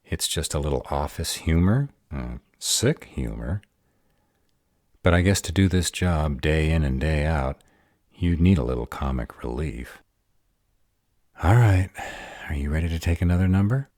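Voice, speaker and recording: A man's voice: a bass voice, expressive, a little slow, a little mellow, dry recording, studio quality, heavily acted. An actor playing a sarcastic but protective male were-dragon in an audio roleplay, muttering sardonic asides. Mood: wry.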